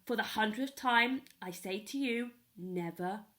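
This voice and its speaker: A woman's voice: medium pitch, bright, forceful tone. A woman reading a children's storybook, voicing a stern, bossy old male alien grandfather with emphatic delivery. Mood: angry scolding. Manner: emphatic, slow scolding. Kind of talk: children's storybook read-aloud.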